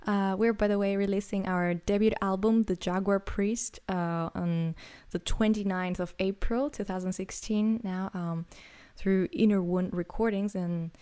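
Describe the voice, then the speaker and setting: A young woman singer from Greece talks about her music in an interview. Her voice sits at a middle pitch for a woman. She speaks with frequent fillers, repeated words and restarts as she thinks aloud. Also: dark tone. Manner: hesitant, many fillers and restarts. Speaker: singer and songwriter. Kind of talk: interview answers.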